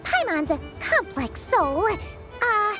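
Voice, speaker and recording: A tiny companion creature with a piercingly high, squeaky voice that leaps all over the place. She is excitable and cheeky, chattering as if she is always up to something. Game music swells loudly behind her. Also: takes it slowly, exaggerated voice. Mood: scheming. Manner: excitable, talks about herself in third person. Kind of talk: video game voice acting. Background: game soundtrack music.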